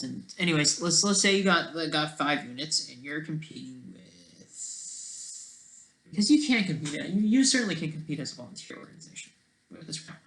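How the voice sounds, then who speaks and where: A man talking casually on a livestream, rambling and halting, often trailing off mid-thought, with a sardonic, self-deprecating streak. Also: fairly high pitch, a bit bright, rough recording.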